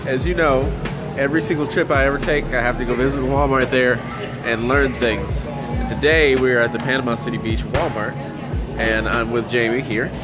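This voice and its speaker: A man's voice: medium pitch, fairly even intonation, normal speed, loud music behind the voice. A young man in his twenties with a Southern US accent, vlogging to a handheld camera on vacation, chatty and amazed. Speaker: fishing vlogger. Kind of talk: casual vlog talk to camera. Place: condo balcony, beach and big store. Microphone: handheld camera at arm's length.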